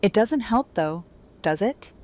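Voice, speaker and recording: A woman's voice: mid-range voice, lively intonation, slow, a little mellow. An actress playing a jaded, cutting woman who bargains hard, speaking in a blunt, confrontational way in an audio drama. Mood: cutting, bitter.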